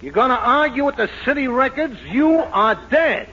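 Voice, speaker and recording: A man's voice: extremely high pitch, expressive, normal speed, muffled recording, crowd noise. A man playing a stubborn, wisecracking records clerk in a 1940s radio comedy, brusque, sarcastic and dismissive. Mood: impatient, dismissive.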